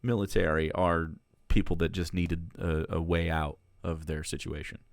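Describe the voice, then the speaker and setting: A man's voice: deep voice, level tone, normal speed, gravelly, dry recording, studio quality. A man who streams political commentary, reacting to clips in a casual, sarcastic and profane way, laughing between remarks.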